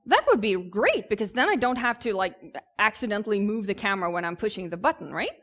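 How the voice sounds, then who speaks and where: A woman from southern Sweden with a Swedish accent, presenting informally and conversationally as a conference speaker, with hesitant pauses. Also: medium pitch, lively intonation, a bit bright. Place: conference stage.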